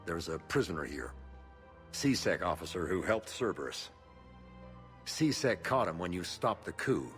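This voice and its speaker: An actor playing a bitter, stubborn retired marine captain in a video game, with a terse, gruff delivery. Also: male voice, sits low, sing-song, a little slow, pauses often, a bit bright, soft background music, full character voice. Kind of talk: video game cutscene dialogue.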